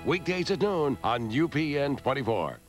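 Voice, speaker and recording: A man's voice: medium pitch, sing-song, normal speed. A man announcing scripted television station promos. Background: music.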